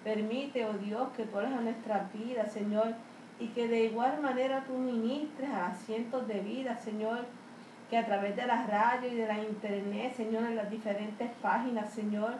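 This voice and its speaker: A woman's voice: on the high side, darkish tone. A woman leading an extemporaneous, devotional church prayer in Spanish, fervently and repeatedly calling on the Lord. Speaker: congregation member leading the opening prayer. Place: church service. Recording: echoey room with faint background noise.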